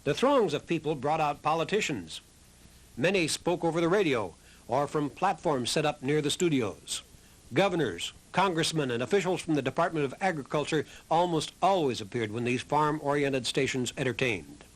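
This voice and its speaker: A man's voice: a little high, animated, normal speed. An American man reading scripted documentary narration. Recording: music under the voice.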